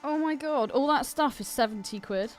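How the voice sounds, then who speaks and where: Female British co-host, high-pitched and animated, enthusiastic slangy chat over faint music. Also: normal speed. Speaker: podcast co-host. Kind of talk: casual chat.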